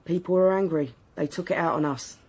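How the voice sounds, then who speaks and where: An actress playing a blunt, defensive young working-class wife in a naturalistic radio drama, colloquial and outspoken. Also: female voice, fairly low pitch, fairly quick, a little mellow. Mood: defensive, fed up.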